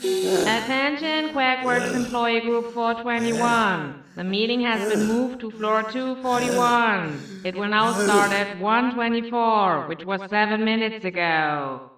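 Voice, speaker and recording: A woman playing a dry, officious corporate announcer, making deadpan public address announcements in a cartoon dub. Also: on the high side, takes it slowly, faint music behind. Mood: flat, official. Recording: echoey, distant public address sound.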